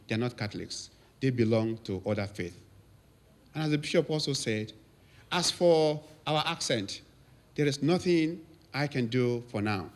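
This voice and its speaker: Nigerian priest in his fifties, anecdotal speech to his congregation, lively when quoting others. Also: medium pitch. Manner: storytelling, laughs often, lively quotes. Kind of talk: anniversary thank-you speech.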